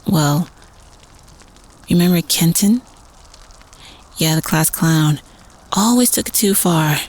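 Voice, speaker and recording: A woman playing a teenage prep-school student telling a spooky campfire story casually in an audio roleplay, conspiratorial and at times hushed. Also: low-pitched, takes it slowly. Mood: chatty, teasing.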